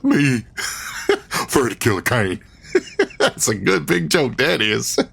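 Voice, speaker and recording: A woman's voice: a bass voice, wildly swooping pitch, takes it slowly, vocal fry, fairly bright tone, breathy edge, full character voice. An actor voicing a cheerful, dim rural hillbilly man with a folksy drawl in a comedy audio drama. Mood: boastful. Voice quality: folksy drawl, ungrammatical country talk.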